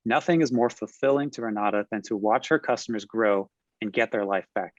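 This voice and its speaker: A man's voice: on the low side, brisk, no room sound. Man reading webinar announcements, dry and muffled. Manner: smooth, reading from a script. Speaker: webinar moderator. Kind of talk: scripted announcements and speaker introduction.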